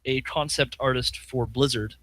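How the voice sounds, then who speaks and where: A man's voice: mid-range voice, steady tone, moderate pace, fairly bright tone. A man co-hosting a podcast in casual chat, telling a rambling story with fillers and acted-out quotes. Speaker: podcast co-host.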